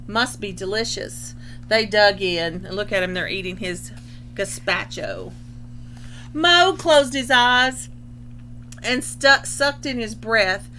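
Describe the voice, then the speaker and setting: Woman, storybook read-aloud, unhurried pace, expressive, simple explanations, faint background noise. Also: mid-range voice. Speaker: storybook reader. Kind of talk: children's picture book read-aloud with explanatory asides.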